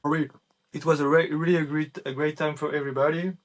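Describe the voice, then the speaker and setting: A man's voice: on the high side, flat delivery, fast. A man from France with a French accent, a guest on a casual video-call chat, laid-back and hesitant, with many fillers.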